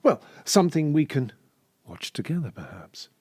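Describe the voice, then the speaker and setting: A man's voice: a little high, very animated, moderate pace, a little mellow, breathy edge, no echo. An actor playing a courteous, chatty male escort with a plummy British voice, droll and theatrical, in a comedy drama. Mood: droll, coaxing. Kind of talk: comedy audio drama dialogue.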